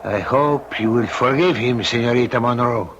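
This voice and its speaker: A man's voice: medium pitch, moderate pace, highs cut off, lightly acted. An actor playing a stern, courtly middle-aged Spanish father in an old-time radio drama, formal and commanding with a Spanish accent. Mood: courtly, apologetic. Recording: lightly noisy vintage radio recording.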